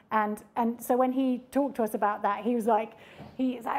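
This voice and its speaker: Mellow woman in a panel talk. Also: a little high. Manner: reflective, with many fillers and restarts. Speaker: project presenter at a panel talk. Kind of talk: public Q&A discussion. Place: mid-sized indoor event room with audience.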